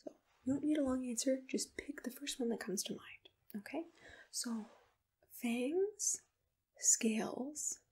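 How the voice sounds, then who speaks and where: A woman softly whispering in a gentle, reassuring, patient way, asking questions as a matchmaker in an ASMR roleplay, close to the microphone. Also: high voice, a little slow. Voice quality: soft breathy whisper. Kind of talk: ASMR personal-attention roleplay, unscripted interview questions.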